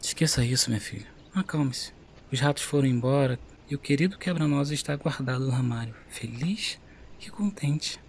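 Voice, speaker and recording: An actor playing a stern but loving father who scolds his daughter and then soothes her gently. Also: medium pitch, normal speed, creaky, slight background noise, natural voice. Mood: soothing.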